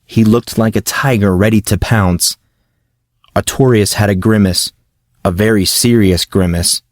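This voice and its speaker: A man's voice: on the low side, steady tone, a little slow, no echo, studio quality. A man reading a scripted fantasy audiobook as narrator.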